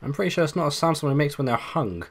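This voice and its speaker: A young man with a bassy voice, hosting a let's play with casual, joking commentary and humming. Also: animated, fast talker. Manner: joking, hums and sings to himself, loud bursts.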